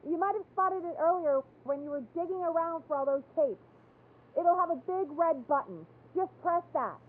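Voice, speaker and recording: An actress playing a friendly radio producer in a horror game, speaking in an earnest, chatty and practical way. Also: female voice, extremely high pitch, animated, moderate pace, narrow, tinny audio. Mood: practical, uneasy. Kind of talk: dramatic video game voice acting.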